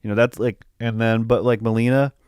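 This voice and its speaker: A man's voice: mid-range voice, little pitch movement, fairly quick, a little mellow, smooth, dry recording, studio quality. A man co-hosting a podcast, talking casually and feeling his way through his opinions. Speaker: podcast co-host. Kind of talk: casual movie review chat.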